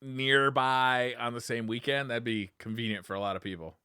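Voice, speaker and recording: A man's voice: medium pitch, steady tone, moderate pace, pushed and bright, clean tone, no room sound, clean studio sound. An enthusiastic man, chatting casually as a gaming podcast co-host. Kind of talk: casual chat about video games.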